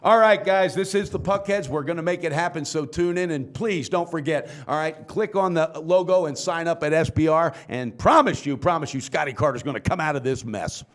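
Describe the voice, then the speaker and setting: A man's voice: fairly high pitch, fairly quick, hardly any pauses. A man hosting a sports betting talk show, brash and teasing in casual banter.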